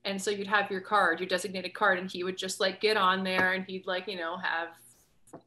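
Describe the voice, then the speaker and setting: Young canadian woman guesting on a podcast, bright voice, upbeat, says like a lot, laptop mic on a video call. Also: medium pitch, brisk. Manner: lively, upbeat, lots of "like". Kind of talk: casual chat. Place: home room, video call. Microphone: laptop camera mic, video call.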